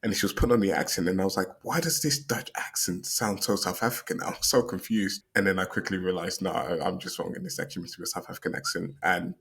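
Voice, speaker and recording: Low gravelly man rambling casually. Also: quick pace, few pauses, fairly bright tone. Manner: rambling, many fillers and restarts. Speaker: podcast co-host. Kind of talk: casual movie review chat.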